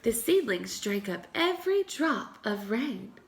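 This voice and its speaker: Female pre-K teacher, storybook read-aloud, highish voice, very animated intonation for young listeners. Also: measured pace. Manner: expressive, addressing young children.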